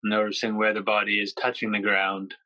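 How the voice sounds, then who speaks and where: A man with a clear-cut, bright tone guides a body-relaxation meditation. He speaks gently in short phrases with long pauses, keeping his pitch very flat. The recording is dry and slightly dull, with little sense of a room. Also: no echo. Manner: slow, gentle, pausing between phrases. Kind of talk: guided yoga nidra meditation.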